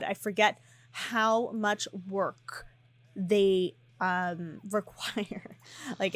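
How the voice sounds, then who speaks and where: Chatty woman narrating her gameplay. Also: a little high. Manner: chatty, animated, frequent ums and laughs. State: slightly hoarse. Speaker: gaming YouTuber. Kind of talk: casual gameplay commentary.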